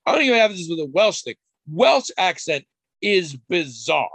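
A man's voice: high voice, sing-song, normal speed, a bit bright, no room sound. A man co-hosting a podcast, chatting casually in a rambling, candid way and swearing now and then. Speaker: podcast co-host. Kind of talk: casual chat.

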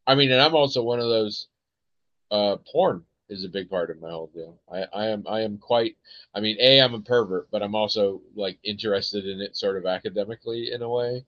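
Casting note: American male podcast host, lowish voice, meandering and full of ums, dull dry lo-fi sound. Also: a little fast, no room sound. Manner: rambling, hesitant, many ums and restarts. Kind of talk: casual chat.

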